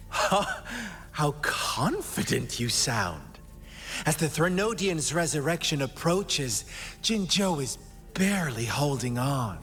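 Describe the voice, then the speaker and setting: An actor playing a sinister, mocking cult overseer in a video game, speaking in a theatrical, smugly courteous way. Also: male voice, a little high, big pitch swings, slow pace, a bit bright, big-room sound, faint music behind, heavily acted. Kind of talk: dramatic video game voice acting.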